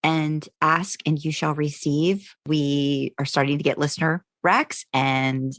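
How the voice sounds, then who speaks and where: A woman's voice: low voice, fairly even intonation, measured pace, fairly bright tone, dry recording, clean studio sound. A woman co-hosting a podcast, talking casually and informally in a self-deprecating way. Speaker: podcast co-host.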